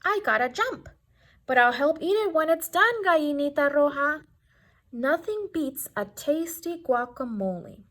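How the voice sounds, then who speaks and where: A woman's voice: high-pitched, expressive, a little slow, a bit bright. A woman voicing a lazy, greedy armadillo in a storybook, grunting and dismissive. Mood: offhand refusal.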